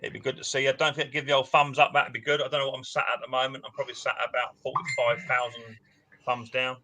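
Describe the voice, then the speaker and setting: A man's voice: mid-range voice, flat delivery, fast talker, background noise. A man hosting a casual livestream, chatting and teasing his viewers. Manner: chatty, teasing, banter-heavy. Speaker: livestream host.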